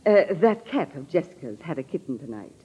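A woman's voice: low voice, very animated, a little fast, mellow, husky, lo-fi sound, exaggerated voice. A woman acting a determined, suspicious middle-aged sister-in-law in a 1940s radio drama, blunt and accusing, on an old radio recording. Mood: brisk, watchful.

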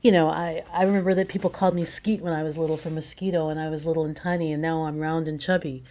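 A woman's voice: sits low, flat delivery, quick pace, warm tone. An American woman, middle-aged or older, talking reflectively as a guest playwright in an interview, explaining thoughtfully and building points through repeated phrases.

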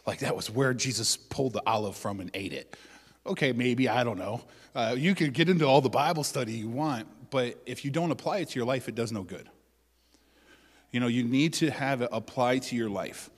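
A male pastor giving a conversational sermon, with joking asides and loud bursts of emphasis. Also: medium pitch, brisk, husky, long reverb tail.

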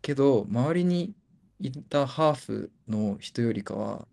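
A man's voice: mid-range voice, animated, moderate pace, gravelly. A young man and co-host, a native Japanese speaker, talking thoughtfully in English and Japanese in a casual chat. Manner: soft, thoughtful, switches between English and Japanese.

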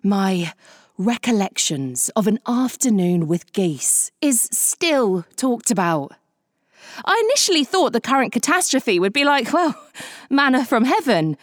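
Wry, animated sardonic woman. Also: a little high, measured pace, no echo. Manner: dry, sarcastic, exasperated. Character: wry, sardonic woman writer aboard Noah's Ark. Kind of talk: comedy audio drama acting.

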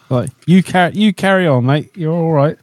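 A man's voice: fairly high pitch, a little mellow. A man chatting casually on a podcast panel in a jokey, rambling way. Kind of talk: casual chat.

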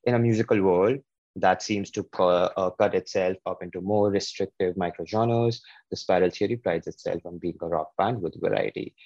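A man hosting a casual podcast interview, often halting and restarting his words. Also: low voice, a little mellow, no room sound, highs cut off. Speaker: podcast host.

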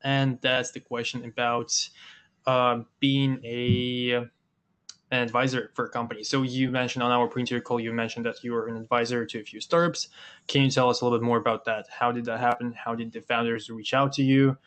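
Man, interview host, hoarse from losing his voice, flat even delivery, quick friendly questions. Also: mid-range voice. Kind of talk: casual interview.

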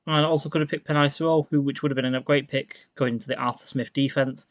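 A man's voice: mid-range voice, fairly even intonation, quick pace, no room sound. A man from a team podcast, eagerly breaking down a draft pick in long, rambling runs. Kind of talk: enthusiastic sports analysis.

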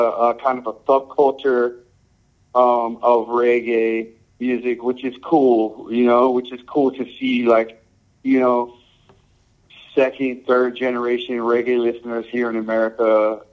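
Laid-back male musician from Samoa and Hawaii, mid-range voice, halting answers, dull lo-fi sound. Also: medium pitch, little pitch movement. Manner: laid-back, halting, full of "um" and "you know". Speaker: reggae musician guest. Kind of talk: casual interview answers.

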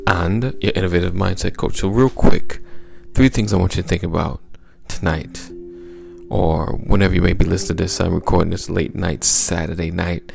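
Gravelly deep-voiced male motivational coach. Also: fairly even intonation, moderate pace, darkish tone, faint music behind. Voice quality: smooth, gravelly low voice. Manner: upbeat, repetitive coaching exhortations. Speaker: podcast host and mindset coach. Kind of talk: motivational podcast talk.

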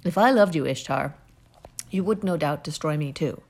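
A woman reading aloud, voicing a proud, defiant young male hero-king who declaims loudly. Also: low-pitched, normal speed. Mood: scornful.

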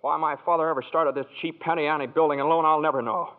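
A man's voice: a little high, fairly even intonation, fast, lightly acted. Man playing an earnest, stammering small-town young dreamer. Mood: indignant, passionate. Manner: stammering, talkative, folksy. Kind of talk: 1940s radio drama acting. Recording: tinny, narrow vintage radio recording.

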